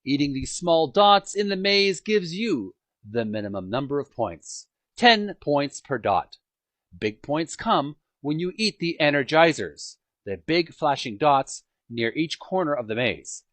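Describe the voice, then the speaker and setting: Man reading aloud with very animated pitch. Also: a little slow, no room sound. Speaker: host reading a book aloud. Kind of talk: scripted book narration, amateur read-aloud. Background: music.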